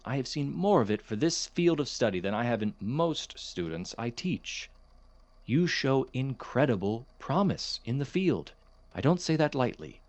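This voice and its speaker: A man playing a measured, kindly science professor in an audio drama, formal and precise, hesitant and gently reproving. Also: mid-range voice, dry recording, close to an everyday voice.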